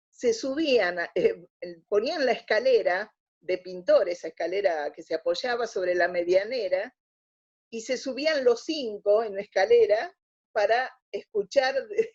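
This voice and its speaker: Argentine woman, interview guest, reflective anecdotal talker with a halting flow, mid-pitched, lo-fi dull recording. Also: gravelly, a little mellow, slightly rough. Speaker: interview guest, radio theatre historian. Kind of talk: conversational interview, anecdotal storytelling. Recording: dull lo-fi audio.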